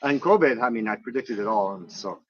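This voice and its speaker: A man's voice: fairly low pitch, lively intonation, brisk, muffled recording. A man from Montreal whose first language is French, talking hesitantly as an interview guest with many restarts. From Montreal, Canada. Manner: hesitant, with repeated starts and "you know". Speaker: interview guest, bed and breakfast owner. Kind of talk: casual conversation. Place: outdoors on a balcony overlooking volcanoes.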